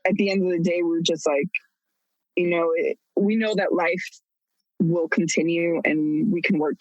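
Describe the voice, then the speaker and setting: A woman's voice: on the low side, fairly even intonation, normal speed, dry recording, muffled recording. Candid laughing woman in her thirties. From United States. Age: 30s. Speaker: podcast guest. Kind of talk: casual storytelling.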